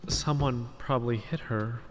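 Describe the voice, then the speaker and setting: A performer playing a timid, apologetic young student sleuth in an animated mystery, halting and stammering. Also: medium pitch, in a large hall, background noise, natural voice. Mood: tentative, thinking. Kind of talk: dramatic animated mystery voice acting.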